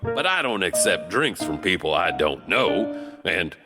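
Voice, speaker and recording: Male horror podcast host, theatrical and conversational, lively swooping delivery with gravel in it, music underneath. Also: deep voice, a little slow. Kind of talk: spooky storytelling narration. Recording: long reverb, music underneath.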